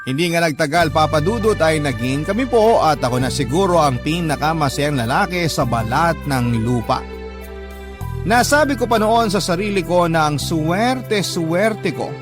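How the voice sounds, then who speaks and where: A man voices a heartbroken, humble Filipino man telling his love story for radio. The delivery is scripted, polite and confessional, in a slightly high voice. Music plays clearly behind him. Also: expressive, moderate pace, close to an everyday voice. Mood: tender, rueful. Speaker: letter-sender narrator. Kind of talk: scripted dramatic narration.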